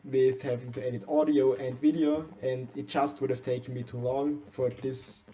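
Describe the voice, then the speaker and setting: A man's voice: medium pitch, flat delivery, normal speed, warm tone. A young man from Austria, with German as his first language, speaking English with an Austrian German accent as he talks through a game base tour, hesitant and often restarting his words. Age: young adult. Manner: hesitant, frequent restarts and stumbles. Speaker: gaming channel host.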